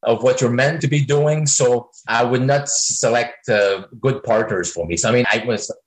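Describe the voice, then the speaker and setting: A man's voice: medium pitch, moderate pace, poor audio. French-native man talking in loose rambles. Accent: French-speaking North American. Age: 40s. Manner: rambling, leans on filler "I mean". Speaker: singer-songwriter guest. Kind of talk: casual interview answers.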